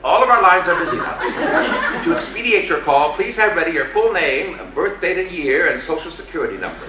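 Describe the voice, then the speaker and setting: Man putting on a chirpy, high-pitched automated phone menu. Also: very animated, a little fast, far from the mic. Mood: brightly officious. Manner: sing-song recited announcements. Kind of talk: comic storytelling on stage. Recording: echoey, noisy stage recording from afar.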